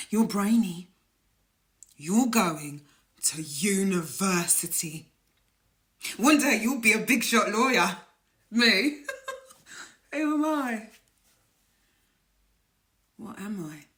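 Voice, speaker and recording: An actress playing a young woman who sounds soft, wistful and unsure of herself. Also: medium pitch.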